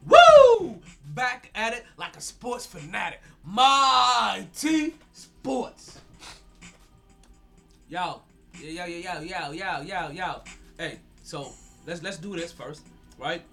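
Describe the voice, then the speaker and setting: Hyped stuffy-nosed sports podcast host. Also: male voice, sits high, very animated, slow pace, creaky, fairly bright tone, soft background music. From South Florida. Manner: hyped, slangy, repeats words. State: stuffy from allergies. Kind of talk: casual sports talk.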